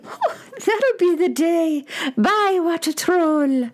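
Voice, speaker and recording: Squeaky, very high little fairy. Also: female voice, expressive, slow, heavily acted. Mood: amused, dismissive. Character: tiny sparkly female fairy, airy and dismissive. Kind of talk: children's radio theater acting.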